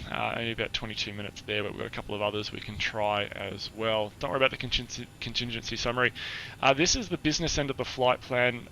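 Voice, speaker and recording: A hoarse, husky-voiced man giving a chatty, explanatory flight briefing on a live stream, with many filler words. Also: medium pitch, sharp tone, a little hiss or hum, rough recording.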